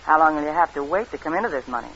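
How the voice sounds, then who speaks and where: A woman's voice: deep voice, lively intonation, very fast, a bit bright, a little hiss or hum. An actress playing a wary female accomplice in a vintage radio thriller, on a muffled old recording. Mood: doubtful, anxious. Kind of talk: 1940s-50s radio thriller acting.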